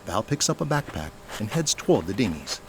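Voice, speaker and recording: A man's voice: lowish voice, big pitch swings, a little fast, background noise. A man reading scripted dramatic narration for an audio drama.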